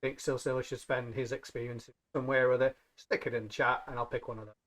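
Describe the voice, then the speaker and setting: A man with a medium-pitched voice talks as a player in a tabletop roleplaying game. His delivery is casual and conversational. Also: level tone, fast talker, fairly bright tone. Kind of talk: casual chat.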